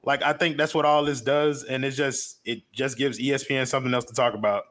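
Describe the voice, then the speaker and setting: A man's voice: mid-range voice, level tone, fairly quick, fairly bright tone, slight background noise. A man sharing casual opinions as a panelist on a sports talk show.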